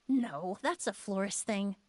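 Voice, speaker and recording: A woman's voice: medium pitch, lively intonation, measured pace, a little mellow, a little hiss or hum, close to an everyday voice. A woman playing a composed, courteous young perfumer in a video game, polite and articulate.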